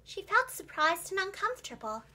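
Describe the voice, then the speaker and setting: A woman narrating a children's storybook in an expressive, clearly enunciated storyteller's manner for young listeners. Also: very high-pitched, moderate pace, slight background noise, rough recording. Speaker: preschool teacher and children's storyteller.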